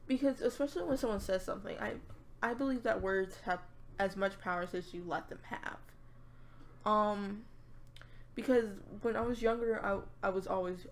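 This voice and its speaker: A young woman with a raspy voice, chatting casually to her viewers and rambling with lots of fillers and restarts. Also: mid-range voice, slight background noise. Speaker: YouTuber. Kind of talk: casual vlog chat.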